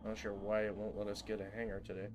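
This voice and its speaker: A man with a lowish voice and a stuffed-up nose talks to his live viewers in a loose, easygoing way. He leans on "uh" and "right?" as he plays. A faint hiss sits under his voice. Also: fast. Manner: relaxed, chatty, lots of fillers and "right?". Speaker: gaming streamer. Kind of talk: casual live-stream chat while playing.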